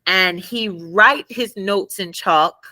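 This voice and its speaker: A woman guest and former math teacher in a conversational interview, telling animated stories and laughing often. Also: mid-range voice, takes it slowly, dry recording.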